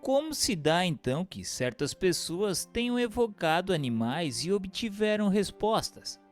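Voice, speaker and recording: Animated male narrator in a reverberant hall. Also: sits high, a little slow. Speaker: narrator reading questions and notes. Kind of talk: scripted narration of a spiritist book. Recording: long hall reverb, music bed.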